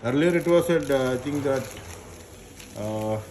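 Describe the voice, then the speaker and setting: A man doing a casual, unscripted product unboxing, haltingly thinking aloud as he goes. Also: medium pitch, darkish tone. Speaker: unboxing presenter. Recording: noisy recording with crinkling packaging. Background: handling and crinkling of packaging.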